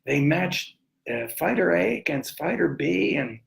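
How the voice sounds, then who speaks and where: A man hosting a sports talk show, giving opinions in an emphatic, colorful, rambling way with dramatic pauses. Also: fairly high pitch, sing-song, creaky, slight background noise.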